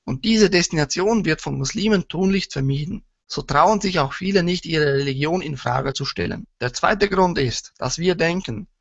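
A man with a Swiss German accent from Switzerland, hosting an online talk show with a measured, formal delivery. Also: a little high, vocal fry, no room sound. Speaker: show host. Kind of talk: scripted intro, then casual chat. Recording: dull, lo-fi sound.

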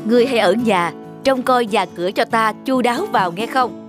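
An actor voicing a warm, humble traveling scholar in an animated morality tale. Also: medium pitch, fairly quick, natural voice. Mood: calm, firm. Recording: background music throughout.

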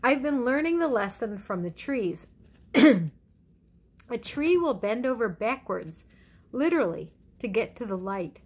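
A middle-aged woman pastor giving a conversational sermon at home in a measured, reflective way with deliberate pauses. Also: a little high, very animated, darkish tone, slightly rough. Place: indoors in a living room at home. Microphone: phone or webcam at arm's length.

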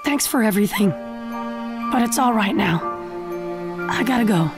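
A high, scratchy teenage ninja boy, full of brash determination. The delivery is excitable and very animated, in anime game style, with music clearly playing behind it. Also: moderate pace. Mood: reassuring. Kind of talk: anime video game voice acting.

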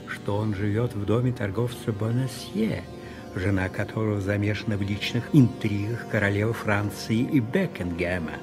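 A man playing an imperious, scheming French cardinal in a radio drama, commanding and deliberate. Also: lowish voice. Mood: coldly calculating. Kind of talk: radio play acting. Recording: music behind the voice.

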